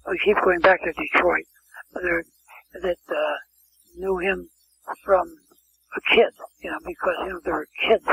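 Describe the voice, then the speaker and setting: A man's voice: high voice, fairly even intonation, a little slow, vocal fry, pauses often, bright, forceful tone, slightly rough. An older man telling rambling anecdotes as an interview guest, often restarting and wandering into asides. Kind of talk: casual storytelling interview. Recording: muffled lo-fi phone-quality sound.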